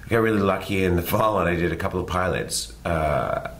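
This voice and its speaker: Very deep-voiced relaxed middle-aged man. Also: brisk, slight background noise. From Canada. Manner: relaxed, self-deprecating, rambling. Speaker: guest actor. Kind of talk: casual interview chat.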